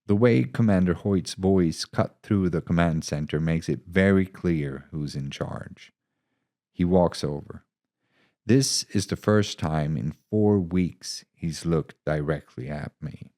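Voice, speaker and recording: A man's voice: low voice, slow, mellow. A man reading scripted first-person fiction as a narrator.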